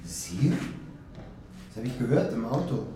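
An actor playing a hesitant young poet, new to a group, asking terse questions in a radio drama. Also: male voice, mid-range voice, big pitch swings, unhurried, warm tone, heavy reverb, recorded from a distance, a little hiss or hum. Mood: wary, puzzled. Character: hesitant, reticent young male newcomer, a poet.

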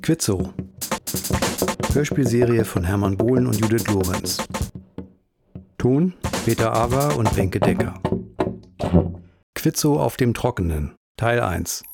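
A man's voice: lowish voice, animated, takes it slowly, gravelly, long pauses. A man announcing radio play titles and credits in a scripted style. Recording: loud drum music behind voice.

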